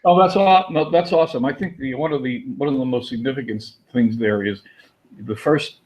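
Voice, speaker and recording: A man's voice: mid-range voice, brisk, a little mellow. A man hosting a webinar, eager and chatty, repeating words and restarting sentences. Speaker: host. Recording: muffled lo-fi audio.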